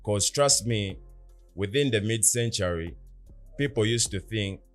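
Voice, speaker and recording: A man's voice: lowish voice, a little slow, faint music behind. A man in casual philosophical podcast talk, explaining earnestly and at length with rhetorical questions. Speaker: podcast co-host.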